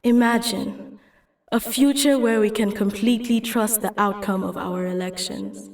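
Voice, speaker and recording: An idealistic young Kenyan woman speaks in a high voice. She is earnest and persuasive, like an activist explaining her cause in a motion comic. The sound is echoey and set back from the mic. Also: steady tone, a little slow, far from the mic. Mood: earnest, explanatory. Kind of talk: dramatic motion comic dialogue and speech.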